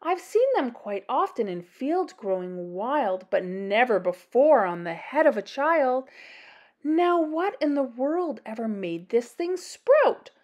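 A woman voicing a learned, pompous older male school principal from a storybook, declaiming in a measured way. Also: a little high, sing-song, darkish tone, full character voice. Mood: puzzled, pompous. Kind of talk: storybook character voice.

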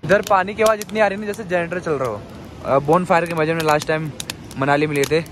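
Fast-talking young man vlogging by a river. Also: on the high side. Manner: chatty, addresses viewers directly. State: slightly sore throat. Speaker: vlogger host. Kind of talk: casual travel vlog. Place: outdoors by a loud rushing river and in hotel rooms. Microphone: phone held at arm's length. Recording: phone video with loud river noise.

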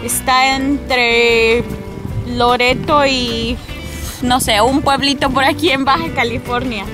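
A woman's voice: high-pitched, fairly even intonation, takes it slowly, music in the background. A woman, chatting casually to a handheld camera as a travel vlogger outdoors. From Honduras. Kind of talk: casual vlog chat. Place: outdoors at the sea, on a small open boat. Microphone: handheld camera at arm's length.

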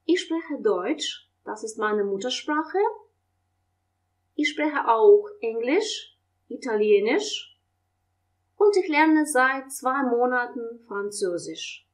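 Woman, language teacher, slow careful delivery, slightly high voice. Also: animated. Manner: reads German questions and answers slowly and clearly, explains in Russian. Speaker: German teacher. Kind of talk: language lesson, slow model dialogue.

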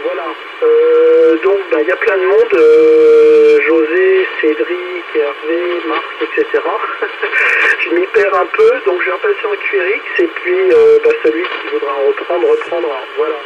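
A man's voice: high-pitched, expressive, a little slow. A man chatting casually as an amateur radio operator over a two-way radio, hesitating as he searches for words. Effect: two-way radio transmission. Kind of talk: casual radio contact chat, passing turns. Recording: dull radio signal with clear static noise.

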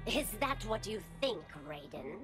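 A sinister female insect creature from a fighting game. She is cold and predatory, drawing her words out slowly with a very lively rise and fall. Music is loud behind her. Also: mid-range voice. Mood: predatory menace. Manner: slow, menacing, formal. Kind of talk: video game voice acting.

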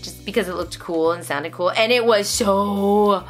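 A woman in her thirties or forties in casual, animated conversation to camera, reviewing things and breaking off her own sentences, recorded in a room at home. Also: medium pitch, a little slow, faint music behind. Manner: animated, conversational, self-interrupting. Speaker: vlogger and homeschooling mother. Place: small furnished room at home, indoors.

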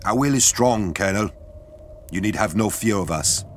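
Man acting a low, stern warrior leader. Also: lively intonation, normal speed, a little hiss or hum, natural voice. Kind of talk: audio drama acting.

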